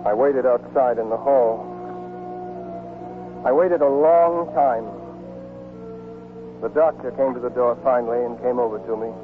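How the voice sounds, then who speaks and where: A grieving, devoted man played by an actor in a 1940s radio play. His mid-pitched voice comes through a tinny, narrow band with music behind it. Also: steady tone, a little slow, long pauses, close to an everyday voice. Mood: numb grief. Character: grieving man recounting his lover's death, desperate, devoted. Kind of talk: 1940s radio drama narration and acting.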